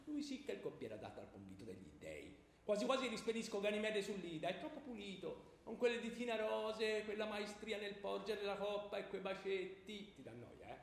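A man's voice: high-pitched, big pitch swings, brisk, vocal fry, exaggerated voice. A man playing a lecherous, unrepentant king of the gods, smugly wheedling and teasing his wife in a theatrical radio comedy. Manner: smug, wheedling. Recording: very echoey hall with faint noise.

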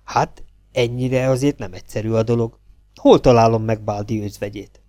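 A man's voice: mid-range voice, fairly even intonation, warm tone, dry recording, studio quality, natural voice. A man voicing a cocky, wisecracking male private detective in a crime story reading. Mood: wry. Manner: wry, joking.

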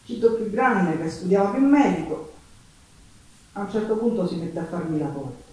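A woman's voice: lowish voice, expressive, a little mellow, slight background noise, close to an everyday voice. An actress playing a poor, plain-spoken Sicilian working woman who recounts her hard life in an earnest theatrical monologue with dialect words. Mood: confiding. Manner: earnest, colloquial storytelling with dialect words and pauses. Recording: echoey stage recording from far off.

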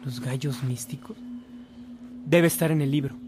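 An actor playing an earnest, reflective young seminarian in a radio drama. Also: male voice, medium pitch, fairly even intonation, normal speed, a little mellow, slight background noise, lightly acted. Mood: troubled, earnest. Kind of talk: radio drama acting and book narration.

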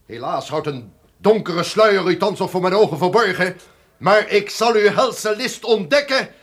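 An actor playing a tyrannical, haunted duke in a theatrical, declamatory radio drama style. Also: male voice, high-pitched, moderate pace, fairly bright tone. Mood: indignant, vengeful. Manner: theatrical, declamatory, swinging from menace to dread. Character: villainous murderous duke, tyrannical, haunted and raging. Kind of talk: old radio drama acting.

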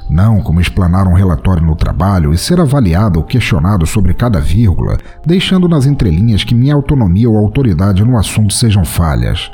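A man with a Brazilian Portuguese accent, hosting a podcast and reading a short story aloud with theatrical, playful pauses. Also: low voice, big pitch swings, warm tone, faint music behind. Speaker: podcast host and story reader.

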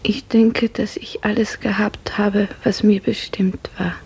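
A woman playing a dreamy, grief-numbed young serf in a 1950s radio drama, hushed, breathy and mournful. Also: medium pitch, level tone, a little slow, natural voice. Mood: numb sorrow. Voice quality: breathy, half-whispered.

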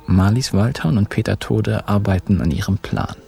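A man's voice: lowish voice, normal speed, creaky, warm tone, slightly rough. A man playing the dry, laconic narrator of a radio crime drama, with an ironic delivery. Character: wry male crime-story narrator. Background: guitar music.

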